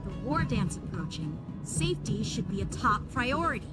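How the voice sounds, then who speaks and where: An actress playing a brisk, earnest young female warrior in a fantasy video game, assertive and clearly articulated. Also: mid-range voice, very animated, lightly acted. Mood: stern, demanding. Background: game music.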